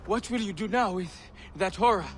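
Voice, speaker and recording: A somewhat high, weary young man in a game cutscene. He sighs often and sounds wry and tired, with faint background noise. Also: measured pace.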